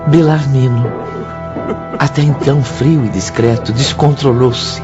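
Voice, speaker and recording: A man narrating a radio drama in a measured, solemn, literary manner. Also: medium pitch, steady tone, natural voice. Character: solemn male storyteller narrating a spiritist tale. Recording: dull sound with music behind.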